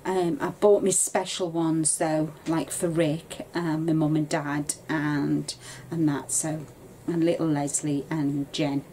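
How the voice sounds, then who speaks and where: A woman's voice: sits low, little pitch movement, slow pace, mellow, slight background noise, low-quality recording. A woman, chatting casually to a desk webcam in her craft room at home, rambling with plenty of ums. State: bunged up with a cold, coughing. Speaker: craft vlogger. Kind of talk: casual chat to camera.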